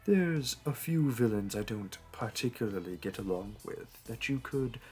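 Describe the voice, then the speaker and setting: A man's voice: mid-range voice, lively intonation, normal speed, a little mellow, faint music behind, natural voice. A man playing a suave, flirtatious supervillain in a roleplay audio drama, smug, teasing and theatrical. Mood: persuasive. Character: smug, flirtatious male supervillain courting a hero.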